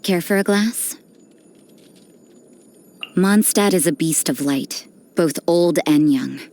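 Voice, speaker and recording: An actress voicing an aloof, cynical young nun in a video game, speaking in a cool, dry, detached and unhurried manner. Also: female voice, on the low side, darkish tone. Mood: cool, blunt. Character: aloof, cynical, worldly nun who does dirty work. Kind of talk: video game character voice lines. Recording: background music under the voice.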